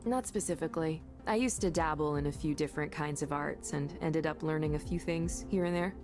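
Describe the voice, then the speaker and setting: An actress plays a young woman in a narrative game, with a lowish voice. She is chatty, curious and quick to put herself down, sounding natural and conversational. Music plays faintly behind her. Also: lightly acted. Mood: thoughtful. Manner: conversational, animated, self-effacing. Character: self-deprecating, chatty, curious young woman with artistic leanings. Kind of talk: naturalistic visual novel acting.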